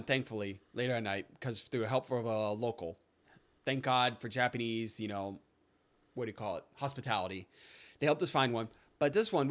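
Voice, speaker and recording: A young man co-hosting a podcast, telling stories in a casual, animated way that jumps up high when he gets excited. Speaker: podcast co-host. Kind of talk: casual storytelling chat.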